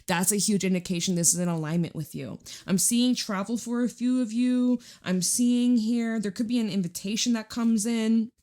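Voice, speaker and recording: A woman with a raspy, hoarse voice giving a casual, chatty tarot reading, informal and emphatic, repeating phrases for emphasis. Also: mid-range voice, dark tone. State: hoarse, recovering from losing voice. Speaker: tarot reader and channel host.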